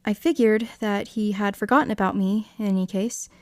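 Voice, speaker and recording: A woman's voice: mid-range voice, fairly even intonation, a little fast, a little mellow, no echo, clean studio sound, natural voice. A young woman playing a shy, apologetic female hospital volunteer in an audio drama, with a hesitant manner. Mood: reflective. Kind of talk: audio drama acting with reflective first-person narration.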